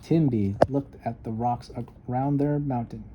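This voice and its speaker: A man reading a children's story aloud with expressive, emphatic narration. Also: mid-range voice, measured pace, highs cut off, noisy background. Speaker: storybook reader.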